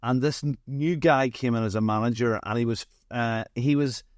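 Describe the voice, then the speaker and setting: A middle-aged Northern Irish man hosts a relaxed online chat. He is chatty and jokey, with a mid-pitched voice and a dry, close sound. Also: moderate pace, dry recording. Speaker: interview host. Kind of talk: casual online chat interview.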